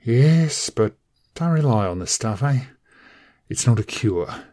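A man's voice: mid-range voice, lively intonation, takes it slowly, dark tone. An actor playing a kindly, firm, plain-spoken man offering reassurance in a radio drama. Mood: reassuring, firm. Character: kindly, reassuring man of authority consoling a victim.

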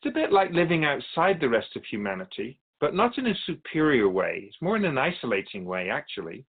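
An actor playing a calm, wise, otherworldly keeper of souls who teaches in a measured, explanatory way as a guest in a radio drama. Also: male voice, mid-range voice, husky, narrow, tinny audio. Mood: reflective.

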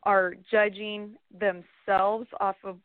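Hoarse woman caller on a phone line. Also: medium pitch, little pitch movement, slow, dry recording. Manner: earnest, passionate. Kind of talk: call-in radio chat.

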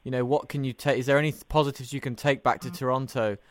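A man's voice: mid-range voice, fairly even intonation, fast talker, no room sound. Male sports podcast host, very dry sound. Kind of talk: interview questioning mixed with prepared sports commentary.

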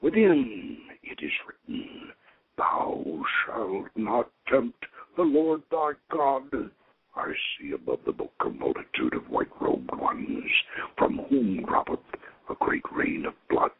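A man giving a solemn, theatrical reading of mystical, archaic scripture in an incantatory manner, with a husky, gravelly voice and dull lo-fi sound. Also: medium pitch, wildly swooping pitch, slow, dry recording. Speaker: reader of an occult ritual text.

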